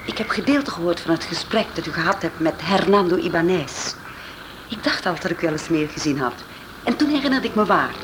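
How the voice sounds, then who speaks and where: An actress playing a wary young Mexican dancer meeting in secret in a radio drama. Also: female voice, fairly low pitch, lively intonation, a little fast, lightly acted. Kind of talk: Dutch radio drama acting. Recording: clear background noise.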